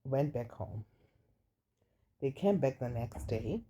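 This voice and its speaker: A woman with a deep voice casually telling a personal story, chatty and confiding, often repeating words for emphasis. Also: lively intonation, slow pace, dark tone, breathy edge. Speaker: storytime vlogger. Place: indoors while rain falls outside. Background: light rain.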